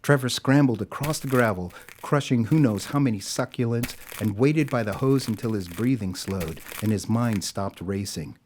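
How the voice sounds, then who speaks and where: A man's voice: fairly low pitch, moderate pace, hardly any pauses, darkish tone, slight background noise. A man narrating a scripted audio drama as a dramatic storyteller. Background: music.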